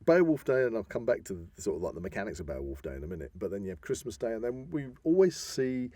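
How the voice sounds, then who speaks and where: A man's voice: lowish voice, big pitch swings, fast talker, mellow, no room sound. A middle-aged man with a British accent, talking casually and emphatically as a podcast co-host. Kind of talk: casual conversational podcast talk.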